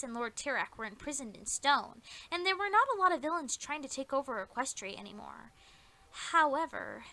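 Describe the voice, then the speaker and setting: A woman's voice: sits high, sharp tone. A woman narrating a scripted fan audio story in a dramatic storytelling style.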